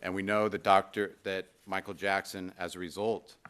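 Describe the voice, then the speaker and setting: A man arguing a case in court as a prosecutor, deliberate and emphatic in his phrasing. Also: deep voice, level tone, fairly bright tone, clean tone. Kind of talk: legal argument in court. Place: indoor courtroom.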